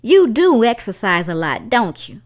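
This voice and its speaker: A woman's voice: a little high, big pitch swings, a little slow. A woman voicing a puzzled, chiding male character in a storybook, with a questioning, exclaiming manner. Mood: suspicious, chiding. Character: puzzled male storybook character scolding his pet bird.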